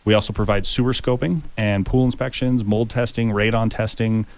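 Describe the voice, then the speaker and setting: Deep-voiced man explaining home inspections. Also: steady tone, moderate pace, a little mellow, no echo. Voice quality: deep voice suited to radio. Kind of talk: radio talk show chat.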